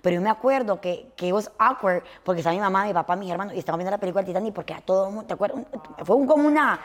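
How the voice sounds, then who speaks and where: A chatty woman in her thirties from Honduras hosts a relaxed conversation. She talks quickly, full of slang, and drops in bits of English. Her voice sits at a middle pitch. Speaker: podcast host. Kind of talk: casual chat.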